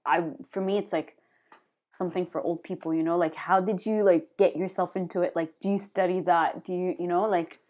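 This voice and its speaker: A woman's voice: lowish voice, fairly even intonation, a little fast, darkish tone. A young woman hosting a podcast interview, asking long, hesitant questions full of filler words in a casual chat. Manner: rambling, heavy "like" fillers, hesitant. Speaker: podcast host.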